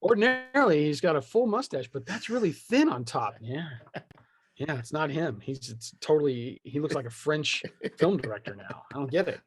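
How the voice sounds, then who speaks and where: A man's voice: fairly high pitch, expressive, moderate pace, slightly rough, dry recording. A man chatting casually as a podcast guest, joking and slipping into hammy, mock-dramatic asides. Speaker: podcast guest, tabletop game creator.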